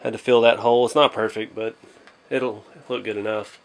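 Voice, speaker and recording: A man's voice: on the low side, level tone, brisk, creaky. A man hosting a casual hobby build vlog, speaking in a modest, hesitant way as he thinks aloud. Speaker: hobby channel host.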